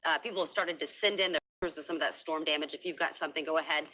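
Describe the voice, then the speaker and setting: A woman's voice: low-pitched, steady tone, racing, bright, forceful tone, highs cut off. A woman with an American accent anchoring live breaking news, talking through reports unscripted.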